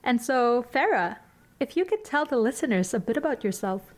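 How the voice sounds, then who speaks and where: A woman's voice: fairly high pitch, very animated, normal speed. A young woman speaking as a podcast host, introducing and interviewing in an articulate, composed way.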